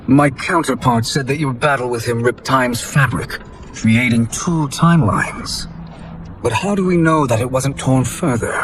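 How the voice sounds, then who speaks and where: An actor playing a shrewd, insistent sorcerer in a video game, with a formal, pressing delivery. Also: male voice, mid-range voice, measured pace, background noise, close to an everyday voice. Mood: probing. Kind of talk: video game cinematic acting. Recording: music behind the voice. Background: music.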